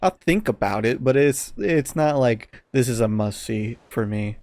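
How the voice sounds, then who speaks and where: Halting man in casual podcast chat. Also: medium pitch, lively intonation, a little mellow, no room sound. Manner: halting, searching for words. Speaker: podcast co-host.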